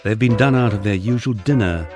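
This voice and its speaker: A man's voice: on the low side, a little fast, music in the background. A man reading a memoir as an audiobook narrator, with a wry storytelling delivery.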